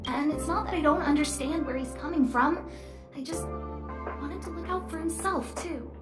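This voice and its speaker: A woman voicing a young, caring female researcher in a video game, in an expressive, girlish, conversational way. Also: high voice, moderate pace, echoey room, over music, natural voice. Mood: worried, exasperated. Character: young female lead researcher, wealthy, cheerful, caring stargazer. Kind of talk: video game character dialogue.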